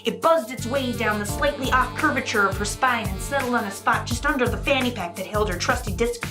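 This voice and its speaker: A woman with a fairly high voice speaks earnestly as a romance novelist guest. Her delivery is halting and a little apologetic, and music plays clearly behind her. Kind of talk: dramatic reading of romance prose, then casual interview talk.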